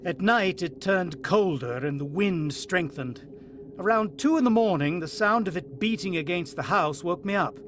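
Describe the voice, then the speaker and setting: A brooding, uneasy family man, played by a male actor in an old-time radio play. His voice sits fairly high for a man. He speaks in a musing, dramatic way with weighted pauses, over faint noise. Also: expressive. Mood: tense narration. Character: brooding, uneasy husband and father narrating a bird attack. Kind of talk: vintage radio drama acting.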